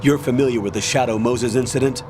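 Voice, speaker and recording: An actor playing a stern, authoritative older military colonel in a video game, commanding, measured and formal as he gives a mission briefing. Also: mid-range voice, fairly even intonation, music in the background, close to an everyday voice. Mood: firm, persuasive.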